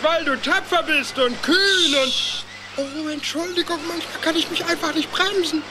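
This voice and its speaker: A woman's voice: sits high, a little slow. A woman voicing a cheeky young boy duckling in a cartoon audio play, enthusiastic and given to exaggeration. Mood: boastful, excited. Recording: loud noise behind the voice.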